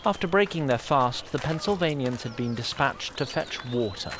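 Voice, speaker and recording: A man's voice: medium pitch, animated, normal speed, fairly bright tone, a little hiss or hum. A man reading scripted narration for a fantasy audio drama. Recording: music under the voice. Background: music.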